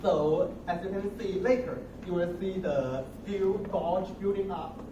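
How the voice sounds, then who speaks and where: A young man giving an impromptu project demonstration, haltingly, often repeating and restarting his words. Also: high voice, lo-fi sound. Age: young adult. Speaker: student presenter. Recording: roomy, distant mic with noticeable noise.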